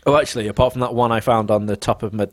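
A man's voice: mid-range voice, steady tone, rapid-fire, no echo. Young man, podcast co-host, casual and opinionated, free with swearing. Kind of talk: casual chat.

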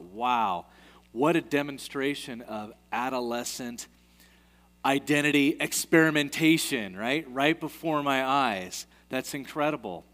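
A man's voice: mid-range voice, long reverb tail. A man preaching a sermon in an earnest way, often repeating key phrases for emphasis.

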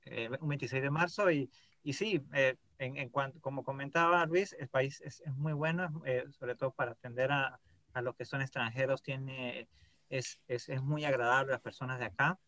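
Venezuelan man, podcast guest, relaxed but halting speech, frequent fillers. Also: medium pitch, no room sound. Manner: hesitant, many "este" fillers and repeats. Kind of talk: casual interview chat.

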